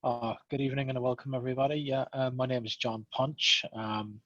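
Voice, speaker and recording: A man's voice: medium pitch, steady tone, brisk, a bit bright, no room sound, muffled recording. An Irish man introducing himself on a panel in a friendly, hesitant way with many ums. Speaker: panelist, programme manager.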